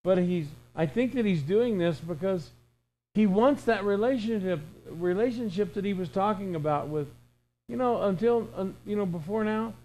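A man's voice: sits high, normal speed, darkish tone, poor audio. A man in his sixties preaching an informal, conversational sermon in a folksy way, with emphatic repetition and imagined dialogue. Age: 60s.

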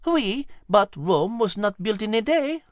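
Man playing refined French female storybook creature, raised pitch, precise, gentle, composed, dry close sound. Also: sing-song, a little slow, no echo. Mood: composed, instructive. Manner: precise, genteel, explanatory. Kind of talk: children's storybook character reading.

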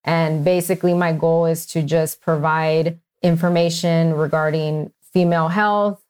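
A woman from Texas, a physician guest, answering interview questions in a measured, earnest way with small restarts. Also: low voice, steady tone, a little mellow, smooth.

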